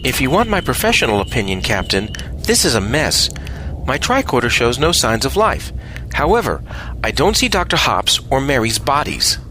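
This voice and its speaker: An actor playing a careful, professional rabbit ship's doctor in an audio drama, reporting in a measured, clinical way. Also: male voice, mid-range voice, sing-song, background noise, close to an everyday voice. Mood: concerned.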